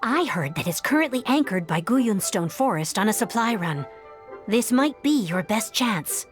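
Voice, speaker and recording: A woman voicing a calm, well-informed advisor in a video game, speaking in a measured, explanatory way. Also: mid-range voice, faint music behind, natural voice.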